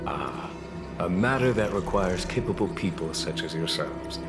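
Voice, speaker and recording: Calm, diplomatic knight general, deep register, polite and unhurried game-cutscene delivery over music. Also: male voice. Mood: genial, measured. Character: calm, courteous, shrewd male general of knights. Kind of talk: scripted video game cutscene acting.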